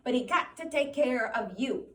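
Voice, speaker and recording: A woman reading aloud and voicing a scolding, disapproving little old aunt in a sharp rural drawl. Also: high voice, animated, normal speed, reverberant, distant mic, slight background noise, voice pushed up.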